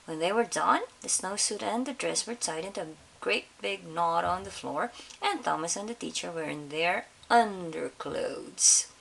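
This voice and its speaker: A woman reading a picture book aloud to children in an expressive storytelling style, pausing to put questions to her listeners. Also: lowish voice, creaky. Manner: pauses to question young listeners. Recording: faint background hiss.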